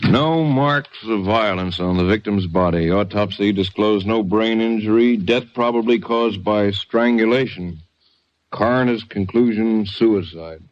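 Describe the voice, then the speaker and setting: A man's voice: on the low side, unhurried, slight background noise, lightly acted. A man playing a plodding, homespun Texas sheriff in an old radio drama, with a hesitant, folksy manner. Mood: official, reading. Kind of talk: 1950s radio drama acting. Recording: dull old radio recording.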